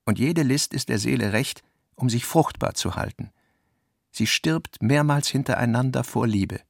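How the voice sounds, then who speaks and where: An actor playing the reflective narrator of a modernist novel in a radio play, with measured, deliberate phrasing. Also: male voice, mid-range voice, lively intonation, vocal fry, no echo, clean studio sound.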